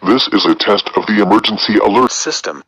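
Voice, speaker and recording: A man's voice: fairly low pitch, fairly quick, a bit bright, slightly rough, dry recording. A synthetic text-to-speech male voice reading a formal emergency announcement, played back from an app. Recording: dull lo-fi app playback.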